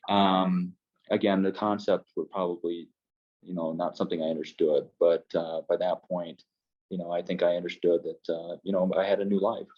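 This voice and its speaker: A man's voice: low voice, level tone, normal speed, highs cut off. A man recalling his adoption in an interview, speaking hesitantly with many fillers and restarts. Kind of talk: personal interview.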